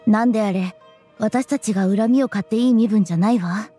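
A woman voicing a worried, subdued young female student in an anime game, soft and breathy. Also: medium pitch, fairly quick, lightly acted. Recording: soft music behind.

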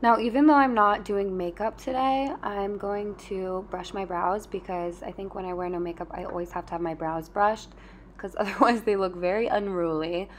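A woman's voice: medium pitch, steady tone, moderate pace, a little hiss or hum. A young woman chatting casually and informally to viewers as a beauty vlogger, sprinkling her talk with "like". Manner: informal, chatty, frequent "like" and "you know". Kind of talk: casual chat to viewers while doing beauty routine. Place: at home in an apartment.

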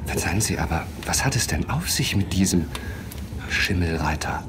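An actor playing a polite, curious traveller with a courteous, slightly hesitant manner in an audio drama. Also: male voice, sits low, animated, moderate pace, noisy background. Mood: puzzled, inquiring. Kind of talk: German audio drama dialogue.

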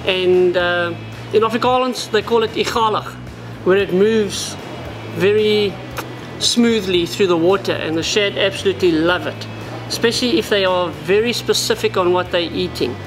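A man's voice: high voice, moderate pace, music in the background, poor audio. A South African man in his forties explaining fishing gear at a tackle shop counter, in a practical, explanatory way. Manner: practical, explanatory, addresses viewers as guys. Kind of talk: product demonstration. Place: indoor tackle shop, seated at a wooden counter.

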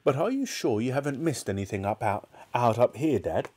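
A man's voice: mid-range voice, lively intonation, fairly quick, darkish tone, husky. A man voicing a sensible, worried little farm boy in a storybook, questioning and protesting. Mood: earnest.

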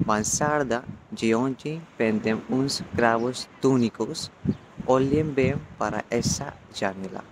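A young man from India with an Indian accent, a non-native speaker reading prose aloud in short, halting phrases. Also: mid-range voice. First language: not Portuguese. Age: young adult. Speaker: language student reading a text. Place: outdoors on a beach. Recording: noisy outdoor phone recording.

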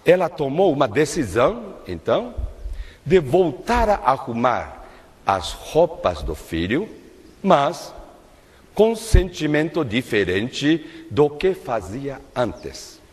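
An older man preaching a sermon at a lectern in a large worship hall, in a slow, measured, emphatic delivery. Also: mid-range voice, sing-song. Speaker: minister giving a testimony-based sermon. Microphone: lectern microphone. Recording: long reverb of a large hall.

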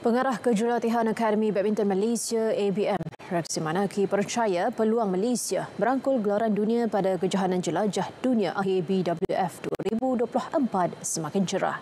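A young woman presenting sports news in a TV studio, reading a script in a steady, formal way. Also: mid-range voice, a little fast, few pauses, slight background noise. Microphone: studio mic. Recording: news music bed underneath.

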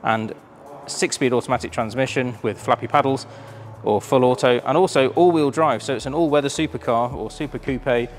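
A man's voice: mid-range voice, moderate pace, few pauses, faint music behind. A man, chatty and enthusiastic, presenting a car auction preview in a large warehouse showroom. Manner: enthusiastic, chatty, filled with ums.

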